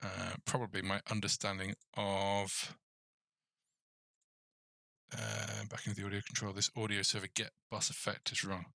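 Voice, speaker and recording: Male tutorial host, low bright voice, muttering through code in a casual way, close dry mic. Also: flat delivery, normal speed, vocal fry, pauses often, no room sound. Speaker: game development tutorial host. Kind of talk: casual coding tutorial, thinking aloud.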